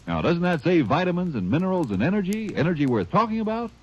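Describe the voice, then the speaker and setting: A man announcing an old-time radio commercial, slightly raised in pitch with a wildly swinging melody. His voice is husky and his pitch salesy and upbeat. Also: big pitch swings, quick pace, poor audio.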